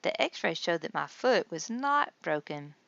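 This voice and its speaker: A woman's voice: fairly low pitch, sing-song, measured pace, gravelly, a bit bright, dry recording, dull audio, heavily acted. A woman voicing a playful, inquisitive childlike puppet boy in a children's storybook.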